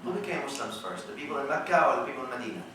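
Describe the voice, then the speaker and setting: A man's voice: medium pitch, sing-song, racing, background noise. A man giving a religious lecture to a live audience, explaining a verse piece by piece and quizzing his listeners. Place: lecture room in a college. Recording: very echoey room, mic at a distance.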